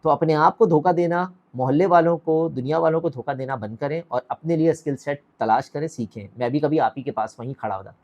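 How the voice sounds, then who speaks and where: A man's voice: fairly high pitch, fairly quick, few pauses, darkish tone, highs cut off. Exhorting man, middle-aged. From Pakistan. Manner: direct, exhorting, asks the viewer questions. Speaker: academy founder and director. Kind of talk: motivational talk to camera.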